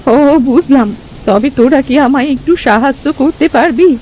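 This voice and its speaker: A woman's voice: sits high, unhurried, mellow. A woman voicing an eerie, pleading old village granny in a Bengali cartoon. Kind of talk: Bengali cartoon story voice acting. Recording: noisy, with rain ambience.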